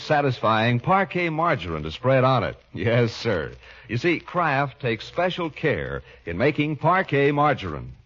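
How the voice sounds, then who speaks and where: A man's voice: medium pitch, a bit bright. A man announcing and selling in polished 1940s radio style. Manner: polished, salesmanlike. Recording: dull vintage radio recording.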